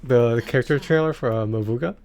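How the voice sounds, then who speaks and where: A man chatting casually on a game livestream, halting and repeating words as he goes. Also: medium pitch, a little mellow. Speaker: game streamer. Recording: music behind the voice.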